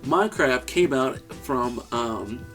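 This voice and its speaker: A man's voice: mid-range voice, expressive, takes it slowly, a bit bright, soft background music. A man co-hosting a casual video game podcast, talking with enthusiasm and frequent laughs and jokey asides. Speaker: podcast co-host. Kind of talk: casual chat about video games.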